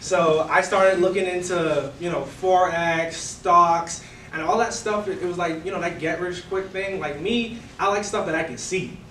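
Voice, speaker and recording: Bahamian man, relaxed talk in a roomy hall. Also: high voice, normal speed, a little hiss or hum. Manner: relaxed, conversational, many fillers like "you know" and "right?". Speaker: guest speaker. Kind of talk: casual presentation to a live audience. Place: event room before an audience. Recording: roomy, distant room mic.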